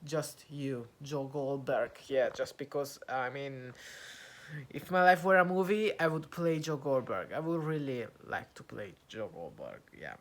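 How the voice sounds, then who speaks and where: A man's voice: mid-range voice, animated, measured pace. Italian man practising English, hesitant. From Italy. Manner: hesitant, many fillers and restarts while searching for words. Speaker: vlogger. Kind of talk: casual talk to camera, practising English.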